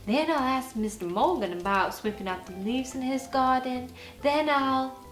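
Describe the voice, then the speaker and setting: Woman voicing an eager, high-pitched little boy. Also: moderate pace, lightly acted. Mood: eager, determined. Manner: earnest, exclamatory childlike talk. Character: eager, earnest young boy longing for a toy train. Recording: roomy sound with faint music behind.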